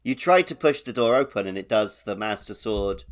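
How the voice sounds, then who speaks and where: Lowish-voiced man in casual podcast chat. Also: expressive, normal speed. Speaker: podcast co-host. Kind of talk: casual podcast chat about a video game.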